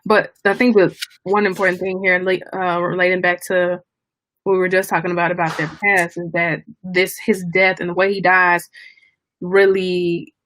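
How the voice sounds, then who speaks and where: A woman hosting a casual book discussion, speaking thoughtfully and haltingly as she searches for her words. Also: on the low side, flat delivery, a bit bright, no echo, poor audio. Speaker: book club discussion host.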